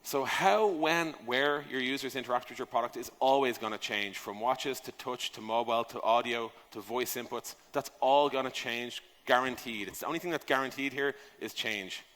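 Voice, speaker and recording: A man's voice: medium pitch, moderate pace, few pauses, fairly bright tone. A man giving an informal conference talk as a speaker, conversational and emphatic in delivery. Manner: emphatic, conversational, rhetorical questions, casual swearing. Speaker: conference speaker on software product design. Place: large conference hall, on stage. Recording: echoey hall, long reverb.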